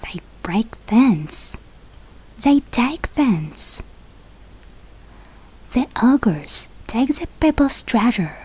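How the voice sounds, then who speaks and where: A woman who is a non-native English speaker reads a children's fairy tale aloud, carefully and deliberately, with a breathy, husky voice. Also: fairly high pitch, very animated, a little mellow, rough recording. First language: not English. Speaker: storybook reader for English learners.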